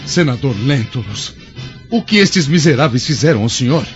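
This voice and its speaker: A man's voice: mid-range voice, expressive, moderate pace, natural voice. A man acting an earnest young Roman officer. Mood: alarmed. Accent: Brazilian. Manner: formal, earnest, theatrical delivery. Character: young, loyal, concerned Roman military officer. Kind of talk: Brazilian radio drama acting. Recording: dull lo-fi audio, music underneath.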